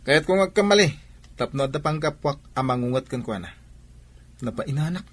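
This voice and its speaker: A man plays a stubborn, complaining shop owner in an Ilocano radio drama. His Filipino voice is a little husky, and his pitch swings widely as he grumbles to his mother. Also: lively intonation, normal speed, no room sound. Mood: sulky.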